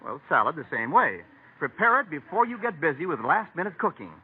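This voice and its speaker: Cheery radio salesman, husky animated highish voice, narrow-band vintage broadcast. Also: a little fast. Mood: eager, selling. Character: eager, cheery male refrigerator pitchman. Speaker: commercial pitchman. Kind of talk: old-time radio sponsor dialogue.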